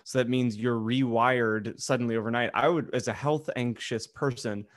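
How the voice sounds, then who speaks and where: A man's voice: mid-range voice, steady tone, normal speed, no echo, clean studio sound. A man co-hosting a podcast, talking casually in a rambling, jokey, sometimes crude way. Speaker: podcast co-host. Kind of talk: casual group banter and opinion.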